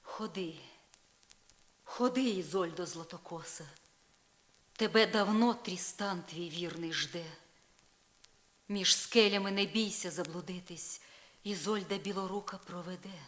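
An actress playing a proud, jealous, sorrowful spurned wife in a solemn verse drama, shifting from breathy hush to declamation. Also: female voice, fairly low pitch, measured pace, long pauses. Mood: solemn, mournful. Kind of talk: dramatic poetry reading, slow and solemn. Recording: vinyl record rip.

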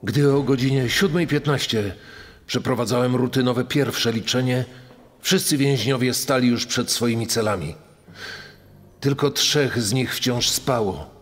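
A man's voice: mid-range voice, a little slow, natural voice. An actor playing a male prison guard giving testimony in a dramatised true crime story. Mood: sober recollection. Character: male prison guard recalling a shocking morning. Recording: long reverberant hall echo.

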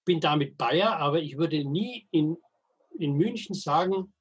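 An elderly man in his eighties with a husky, slightly high voice. He tells stories as a podcast guest, pausing often, restarting phrases, and slipping in dry jokes. His delivery is hesitant but steady. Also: highs cut off. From Middle Franconia, Germany. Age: 80s. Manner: halting, frequent hesitations and restarts; dry humor, anecdotal. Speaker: guest, veteran wastewater engineer and editor. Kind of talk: podcast interview, storytelling.

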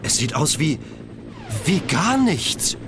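An actor playing an earnest, inquisitive young action hero with dramatic delivery in a children's sci-fi radio play. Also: male voice, on the high side, very animated, slow pace, music in the background. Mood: awed, dramatic. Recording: helicopter noise behind the voice. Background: helicopter noise.

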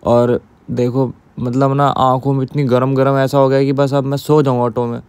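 A young man recording a voice-over for his vlog, sounding drained and exhausted, talking in a slow, tired way. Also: mid-range voice, flat delivery, a little mellow, smooth, no room sound. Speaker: vlogger. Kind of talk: voice-over narration. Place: riding in an auto rickshaw.

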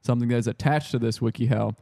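A man hosting a comedy podcast, leading the segment in deadpan casual banter. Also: medium pitch, rapid-fire, vocal fry, dry recording, studio quality. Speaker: podcast host.

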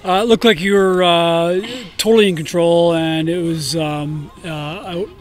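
Halting male interviewer, casual. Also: a little high, fairly even intonation. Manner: halting, hesitant phrasing. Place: outdoors beside a stadium running track.

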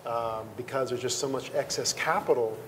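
A man's voice: medium pitch, moderate pace, fairly bright tone, a little hiss or hum. A middle-aged man moderating a panel on a conference stage in a conversational way. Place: conference stage before an audience.

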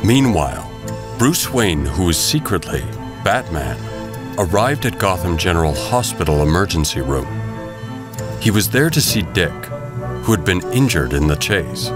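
A man narrates a scripted adventure story in a dramatic style. His voice is deep, and loud music plays beneath it. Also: very animated, moderate pace.

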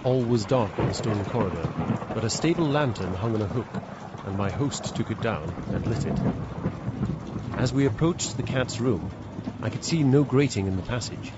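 An actor playing an earnest, formal young gentleman with an English accent in a radio drama. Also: lowish voice, lively intonation, normal speed, very noisy, lightly acted. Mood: measured storytelling. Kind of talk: radio drama narration and dialogue. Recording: dull radio recording under loud music.

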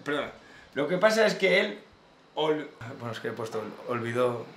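A man's voice: mid-range voice, big pitch swings, normal speed, fairly bright tone. A man in his thirties with a Spain accent, bantering casually as host of a prank show. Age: 30s. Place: small home office, indoors. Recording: echoey room, faint background noise.

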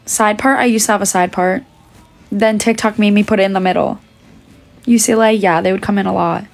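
A woman's voice: medium pitch, fairly even intonation, a little fast, creaky, faint music behind. A young woman chatting on a phone livestream, answering fan questions while she is losing her voice. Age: young adult. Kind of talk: casual livestream chat. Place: indoors, small room. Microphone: phone held at arm's length.

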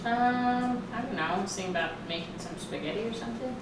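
A woman's voice: on the high side, fast, background noise. A middle-aged mother in casual family chat at a cabin dining table, dry and matter-of-fact. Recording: echoey room, distant camera, music behind.

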